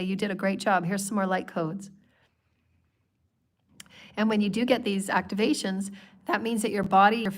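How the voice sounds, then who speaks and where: A woman hosting a spiritual livestream, hoarse from a cold, talking in long flowing strings of repeated phrases. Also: mid-range voice. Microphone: livestream microphone.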